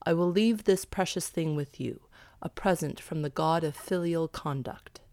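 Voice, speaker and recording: A woman narrator plays an old priest, a kindly messenger of the gods in a storybook. The delivery is solemn, gentle and measured, on the low side, in a very dry recording. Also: no room sound. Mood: reassuring, instructive. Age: older.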